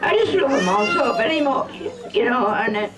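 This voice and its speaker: A woman's voice: on the high side, lively intonation, fairly quick, very noisy. An older woman giving an informal, rambling, repetitive speech to guests, emotional and close to tears. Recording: distant home video, loud crowd.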